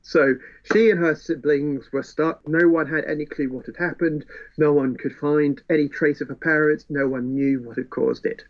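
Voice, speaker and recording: A man's voice: on the high side, steady tone, moderate pace. A man in a casual book discussion, telling the story with wry relish. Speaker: podcast co-host. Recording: dull, muffled lo-fi audio.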